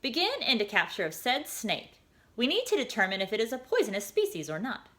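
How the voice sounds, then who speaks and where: A woman's voice: a little high, wildly swooping pitch, fairly quick, sharp tone, voice pushed up, full character voice. A woman voicing a chirpy, polite handheld AI device that speaks formally.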